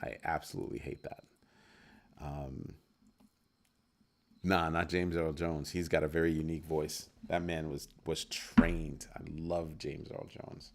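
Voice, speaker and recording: Deep-voiced man chatting on a livestream. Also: unhurried. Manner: animated, playful, reacting to chat comments. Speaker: livestream host. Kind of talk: casual livestream chat with viewers.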